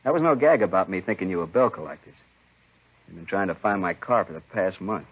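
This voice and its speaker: A man's voice: low voice, animated, a little fast, husky, heavily acted. An actor playing a weary, bitter American prop man confessing to robbery in a 1950s radio crime drama, resigned and self-justifying in short sentences. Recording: muffled old 1950s radio recording.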